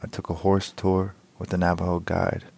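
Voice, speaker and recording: A man's voice: deep voice, completely flat delivery, moderate pace, vocal fry, a little mellow. A man, a poet introducing himself and his work. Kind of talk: spoken introduction to a poetry reading.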